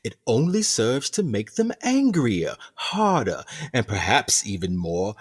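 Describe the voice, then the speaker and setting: A man playing a smooth, sinister prison director in a radio play, courteous, formal and theatrical in his eloquent, measured speech. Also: mid-range voice, sing-song. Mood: coolly persuasive. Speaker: actor in an audio drama.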